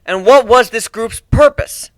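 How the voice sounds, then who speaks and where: A man's voice: extremely high pitch, unhurried, a bit bright, no room sound, pitched above the performer's own voice. A man voicing a stern griffin prosecutor in a dramatic story reading, questioning in a formal, commanding way. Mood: pressing. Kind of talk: dramatic fan fiction reading.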